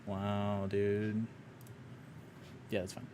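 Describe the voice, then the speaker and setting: A man's voice: on the low side, completely flat delivery, background noise. A young man running a tabletop game on a stream, casual and profane, often laughing between rulings. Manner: profane, joking, quick to laugh. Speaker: game master.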